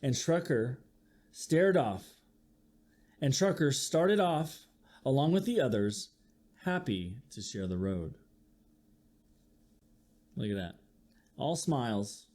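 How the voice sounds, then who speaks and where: A man reading a children's picture book aloud as a teacher would, expressively, putting questions to his young listeners. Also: mid-range voice. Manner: expressive, acts out sound effects, asks listeners questions. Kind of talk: animated children's storybook read-aloud.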